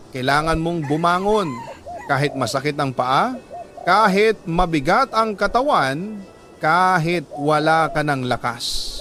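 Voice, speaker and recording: A man narrating as a 46-year-old working man who tells his life story, earnest and solemn, with dramatic pauses in a scripted delivery. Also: on the high side, background noise. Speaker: storyteller narrating a listener's letter.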